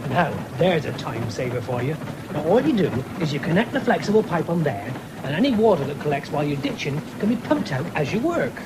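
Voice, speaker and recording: An actor playing an eager, boastful young farmer's son, cajoling and cocky, in a radio drama. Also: male voice, on the high side, sing-song, brisk. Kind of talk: 1970s British radio drama acting. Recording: noisy vintage radio broadcast.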